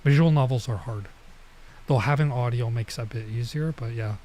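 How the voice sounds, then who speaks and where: A man doing casual let's play commentary as a streamer, informal and halting as he reads and mutters through translations. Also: medium pitch, a little mellow. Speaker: streamer playing a visual novel.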